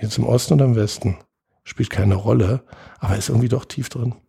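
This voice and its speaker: A man in his fifties from West Berlin, a political journalist talking as a guest in a measured, thoughtful, digressive way. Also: medium pitch, gravelly, dark tone, husky. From West Berlin, Germany. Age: 50s. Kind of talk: reflective conversational storytelling.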